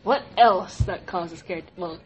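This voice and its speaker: A woman's voice: fairly low pitch, moderate pace, soft background music, low-quality recording. A young woman hosting a casual podcast chat with friends in a library room, laughing often and bossing the others playfully. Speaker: podcast host.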